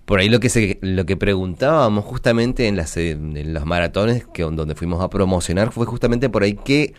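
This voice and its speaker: A man's voice: low-pitched, animated, moderate pace. A man with an Argentine accent co-hosting a casual radio chat, conversational and hesitant. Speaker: radio co-host.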